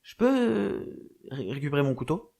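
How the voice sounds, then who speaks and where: A man's voice: a little high, sing-song, moderate pace, creaky, noisy background. A man playing an exasperated young antihero, sarcastic and colloquial, in a comic audio drama. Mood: snarky, uneasy. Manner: hesitant, colloquial, snarky. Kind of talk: French comic audio fiction acting.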